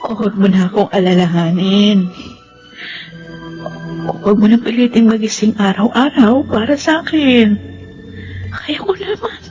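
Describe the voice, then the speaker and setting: An actress playing a grieving, loving young daughter in an emotional Filipino radio drama, tender and pleading. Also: female voice, medium pitch, lively intonation, measured pace, long pauses, a little mellow, highs cut off, soft background music, lightly acted. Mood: tearful, tender.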